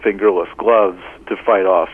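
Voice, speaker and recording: A man co-hosting a podcast by phone, joking with deadpan, mock-serious delivery. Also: low-pitched, moderate pace, breathy edge. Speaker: podcast co-host. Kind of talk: comedic podcast banter. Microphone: phone line. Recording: muffled phone-call recording.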